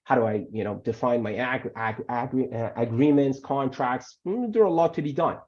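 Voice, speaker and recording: A man whose first language is Farsi, working as an immigration lawyer, gives animated webinar answers and often repeats words for emphasis. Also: medium pitch, moderate pace. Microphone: video call. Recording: muffled video call audio.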